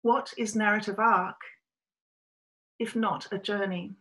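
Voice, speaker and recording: A woman's voice: mid-range voice, steady tone, fairly bright tone. A middle-aged woman from Australia, a writer giving a prepared, reflective talk to camera from a small room over a webcam. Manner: measured, reflective storytelling.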